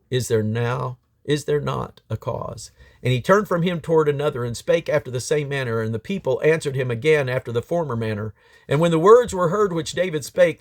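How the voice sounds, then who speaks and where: A man reading scripture aloud as a Bible reader, in a solemn, emphatic storytelling manner. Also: fairly high pitch.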